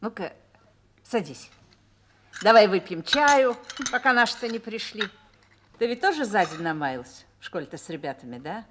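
An actress playing a calm, motherly older army wife in a Russian radio drama, speaking in a soothing, patient way. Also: medium pitch, normal speed, slight background noise, close to an everyday voice. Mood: warm, reminiscing.

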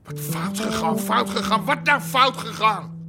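Gravelly, blustering high-pitched crime boss. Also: male voice, expressive, a little slow, loud music behind the voice, full character voice. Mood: furious. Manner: blustering, cursing. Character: irascible old-style male Amsterdam crime boss. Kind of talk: Dutch radio drama acting.

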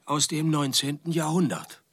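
An actor playing a sardonic private detective in a comic radio play, deadpan and wry. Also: male voice, on the high side, normal speed. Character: sardonic male private detective, dry and unimpressed. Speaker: detective and narrator.

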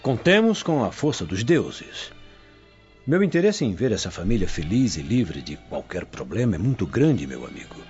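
Scheming Roman nobleman, Brazilian accent, formal, lowish swooping voice, dull sound, faint music. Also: sing-song, normal speed, a little mellow. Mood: confiding, longing. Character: smooth-talking scheming Roman gentleman, formal, covetous. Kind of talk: radio drama acting. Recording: dull, muffled studio track.

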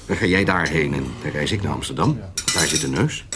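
A steady, reassuring adventurer, a man. His voice is extremely deep yet lively in melody, with clear background noise and clicks. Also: a little fast. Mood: reassuring, resolute. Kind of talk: Dutch historical audio drama acting.

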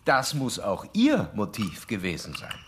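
An actor playing a formal, self-assured psychoanalyst in a radio drama, pressing a patient with analytical insistence. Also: male voice, fairly low pitch, wildly swooping pitch, unhurried, a little hiss or hum. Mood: insistent, analytical. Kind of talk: German radio drama acting.

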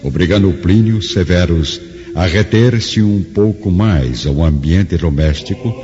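A man with a Brazilian accent giving solemn, expressive scripted narration for a radio drama. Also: low voice, unhurried. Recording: dull lo-fi with faint music.

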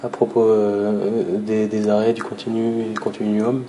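A man's voice: fairly low pitch, flat delivery, a little slow, mellow, a little hiss or hum. A man talking casually and reflectively, hesitant as he searches for words.

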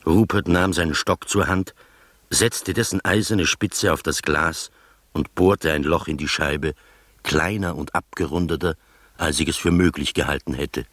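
An actor in a radio drama playing a skeptical gentleman who narrates his uncanny adventure in a measured, literary manner. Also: rumbling low, no room sound, exaggerated voice. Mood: measured, suspenseful.

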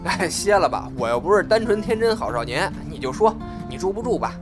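A young man with a northern Mainland Chinese accent, acting a cocky, quick-tongued, open-hearted character in an audio drama. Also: sits high, sing-song. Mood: breezy. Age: young adult. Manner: sparring, colloquial. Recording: music behind the voice.

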